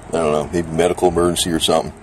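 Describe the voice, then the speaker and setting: A man's voice: sits low, racing. A middle-aged man chatting casually and unscripted about gear, rambling in a plain-spoken, salty way. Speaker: vlogger reviewing gear. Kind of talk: casual, unscripted product chat. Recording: steady background noise.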